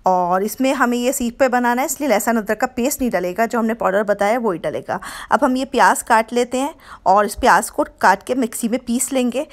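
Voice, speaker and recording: A woman's voice: on the high side, fairly quick. A woman hosting her cooking channel, explaining a home recipe step by step in a homely, repetitive way with frequent self-corrections. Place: home kitchen.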